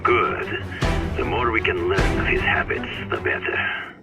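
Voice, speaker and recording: Deep, brooding hunter mentor. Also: male voice, sing-song, over loud music, exaggerated voice. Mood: measured, solemn. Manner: formal, deliberate, commanding. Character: intense brooding seasoned male hunter mentoring a masked hero. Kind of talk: dramatic video game voice acting.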